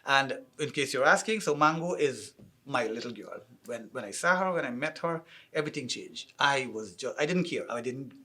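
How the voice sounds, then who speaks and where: A husky-voiced man speaks with a Guyanese accent. He answers interview questions in an outspoken, emphatic way about music and his label. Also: mid-range voice, expressive, normal speed, pushed and bright.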